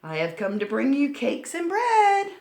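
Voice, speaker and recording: Woman voicing a high-pitched, sweet little storybook girl. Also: huge pitch swings, unhurried, darkish tone, reverberant, exaggerated voice. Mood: cheerful greeting. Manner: concerned, doting. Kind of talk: children's storybook character voice.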